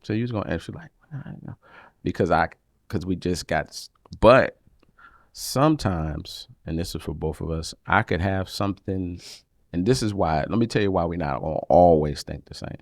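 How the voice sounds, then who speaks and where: A man with a deep, carrying voice co-hosting a casual podcast chat, animated and expressive, often restarting his sentences. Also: normal speed, no echo, studio quality. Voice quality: deep voice that carries. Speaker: podcast co-host. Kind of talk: casual chat about marriage.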